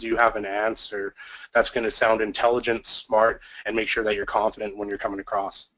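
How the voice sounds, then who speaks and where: A man with a cold and a fading voice, giving a presentation on communication to basketball officials, clearing his throat often. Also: lowish voice, a bit bright, highs cut off. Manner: frequent throat clearing, fillers. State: has a cold, losing his voice.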